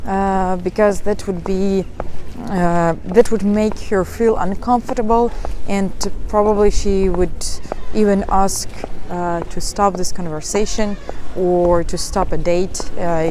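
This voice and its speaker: A woman's voice: mid-range voice, fairly even intonation, slow, warm tone, slight background noise. A young woman, giving dating advice in a live talk and answering questions, hesitant and full of fillers. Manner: hesitant, many fillers and restarts.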